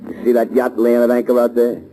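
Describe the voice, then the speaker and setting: An actor playing a tough, impatient gangster with bossy, slangy speech in a 1930s radio drama. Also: male voice, medium pitch, completely flat delivery, fast talker, warm tone, faint music behind, natural voice, low-quality recording. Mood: bossy, threatening. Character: Rankin, tough impatient male gangster henchman.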